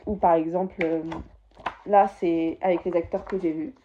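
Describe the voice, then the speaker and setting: A woman's voice: fairly low pitch, steady tone, moderate pace, narrow, tinny audio, slight background noise. A teenage girl vlogging from her bedroom, chatting casually and enthusiastically about her souvenirs, rambling as she goes. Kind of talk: casual chat to camera.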